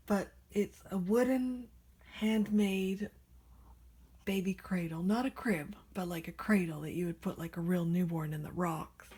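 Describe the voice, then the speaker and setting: Casting note: raspy, slow-talking woman vlogger, nasal from a cold, soft and half-whispered, handheld camera. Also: medium pitch. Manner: soft-spoken, often drops to a whisper. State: recovering from a cold, nasal and hoarse. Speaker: vlogger showing her doll collection. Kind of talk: casual rambling vlog. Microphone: handheld camera without tripod.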